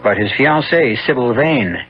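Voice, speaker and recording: A man hosting and narrating a 1970s radio mystery in a solemn, measured, dramatic manner. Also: mid-range voice, lively intonation. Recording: dull old radio broadcast. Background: music.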